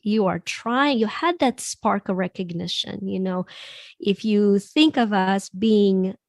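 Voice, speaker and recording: Raspy-voiced woman coach, dry close sound. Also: mid-range voice, slow, dry recording. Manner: reflective, many "you know" fillers. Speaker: possibility coach guest. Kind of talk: conversational podcast interview.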